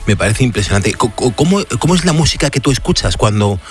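A man hosting a radio show, enthusiastic, with lots of quick exclamations. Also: mid-range voice, big pitch swings, faint music behind. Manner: enthusiastic, repeats short exclamations. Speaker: radio host. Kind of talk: casual radio interview.

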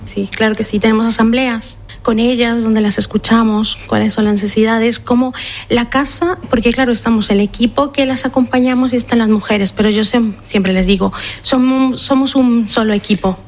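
A woman's voice: a little high, fairly even intonation. A Colombian woman in her thirties, director of a shelter, answering interview questions earnestly with frequent restarts. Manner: earnest, measured, with repeated restarts.

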